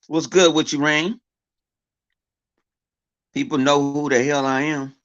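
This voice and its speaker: A man with a big, booming voice talks off the cuff to his viewers, circling his points with fillers, restarts and plenty of swearing. The sound is dull and lo-fi, missing its top end. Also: steady tone, takes it slowly, a bit bright. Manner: rambling, profane, full of fillers and repeats. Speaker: gaming YouTuber. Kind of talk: casual off-the-cuff vlog rant. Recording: dull lo-fi recording.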